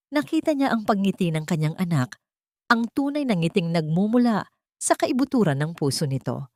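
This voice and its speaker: A woman's voice: medium pitch, no room sound, studio quality. A woman reading a scripted dramatic story with a solemn, sentimental delivery. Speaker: audio story narrator.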